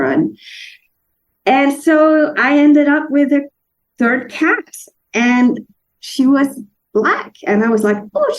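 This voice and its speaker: Gravelly-voiced woman from Denmark. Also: medium pitch, rough recording. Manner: warm storytelling with restarts and searching for words. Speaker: guest author. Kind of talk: casual interview chat.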